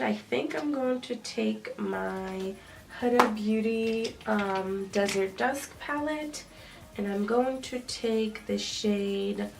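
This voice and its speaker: A woman's voice: a little high, gravelly. A young woman vlogger with a stuffy, nasal voice from a cold, chatting casually with lots of ums as she does her makeup. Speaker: beauty vlogger. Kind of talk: casual makeup tutorial talk. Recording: slightly distant with faint noise.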